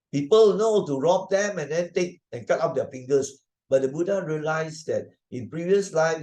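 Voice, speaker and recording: A man's voice: mid-range voice, a little mellow. An older man with a Malaysian accent teaches a moral lesson conversationally, repeating key points with emphasis and checking in with frequent tag questions. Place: online video presentation.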